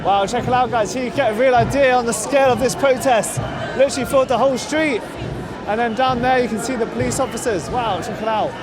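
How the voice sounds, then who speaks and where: High-pitched man reporting from a busy street. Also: quick pace. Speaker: host. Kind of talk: street interviewing and commentary to camera. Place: outdoors on a city street at a protest. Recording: busy outdoor street, crowd and music behind. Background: crowd noise and music.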